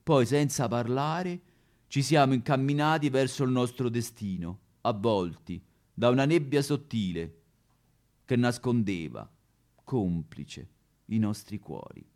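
One guy doing husband and wife having a row, stagey and indignant, really animated pitch. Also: a little slow. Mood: indignant, dramatic. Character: quarrelling married couple, indignant wife and boastful husband Gualtiero. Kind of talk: theatrical comic sketch.

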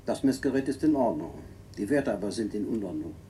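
Matter of fact tech guy actor, lowish voice, terse radio drama reporting, humming beeping gear behind. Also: lively intonation, fairly quick, noisy background, lightly acted. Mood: businesslike. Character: matter-of-fact male technician reporting instrument readings. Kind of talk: 1970s German radio play acting. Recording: 1970s radio recording, humming and beeping equipment.

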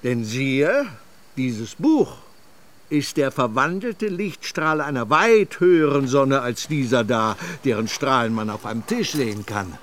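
An actor playing an eccentric old apothecary who tells anecdotes slowly and with relish, in a radio play. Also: male voice, mid-range voice, slight background noise. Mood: storytelling. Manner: lively, slow storytelling, fond of anecdotes. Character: eccentric old male apothecary, bookish storyteller.

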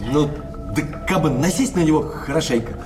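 An actor playing an impatient, gloomy card sharp in a radio play, insistent and given to repeating himself. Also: male voice, on the high side, fairly quick, lightly acted. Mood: gloomy, frustrated. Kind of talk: theatrical radio play acting. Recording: roomy, distant, music behind.

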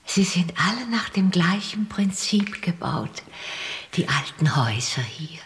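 An actress playing an eccentric, secretive elderly lady in a radio drama, with a hushed, halting, mysterious delivery. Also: on the low side, sing-song, slight background noise. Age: older. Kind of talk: German radio drama acting.

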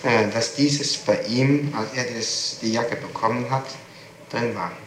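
A man conducting a formal interrogation with deliberate questions, recorded on an old tape from the 1960s. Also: mid-range voice, heavy reverb, noisy background.

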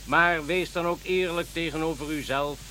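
A man's voice: fairly high pitch, steady tone, moderate pace, pushed and bright. A man playing a grave, devout king in a radio play, speaking formally like a preacher. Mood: solemn exhortation. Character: solemn, devout king following a star. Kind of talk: Dutch radio play acting. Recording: faint background hiss.

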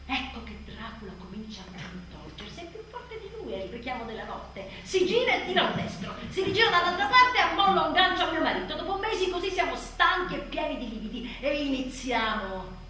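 A frazzled, bitterly funny new mother confessing in a theatrical monologue. Her fairly high voice swings dramatically from muttering to shouting, with big leaps in pitch. It is heard in a very reverberant space. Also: very animated, fairly quick, hardly any pauses, exaggerated voice. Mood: hushed, wry. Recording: roomy distant theatre sound, faint noise.

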